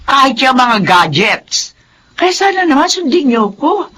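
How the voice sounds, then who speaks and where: A woman's voice: high voice, sing-song, measured pace, sharp tone, full character voice. An actress playing a caring middle-aged mother in a Filipino radio drama, earnest as she scolds and pleads. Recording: faint background noise.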